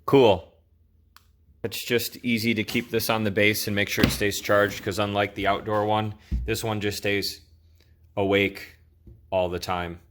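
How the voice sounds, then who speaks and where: A man's voice: fairly low pitch, flat delivery, measured pace, a bit bright, a little hiss or hum. A man hosting an informal product review, talking in a casual, conversational way.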